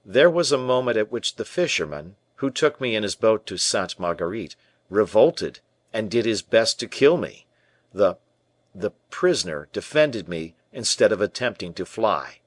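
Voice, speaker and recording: A seasoned musketeer captain from a classic adventure story, as a male reader plays him, giving cool, measured replies with quiet respect. His voice sits low and steady. The recording is close and dry. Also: dry recording.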